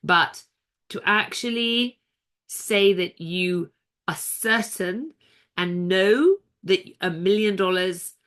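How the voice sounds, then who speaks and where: A woman's voice: mid-range voice, slow, a bit bright, smooth. A woman giving an online seminar in a chatty, rambling way, sounding nasal from a cold. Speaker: seminar coach.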